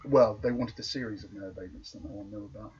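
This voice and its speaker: A man's voice: fairly low pitch, fast, gravelly, a little mellow, husky. Distant-sounding man in casual explanatory discussion. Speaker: podcast co-host. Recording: distant mic, dull lo-fi, faint noise.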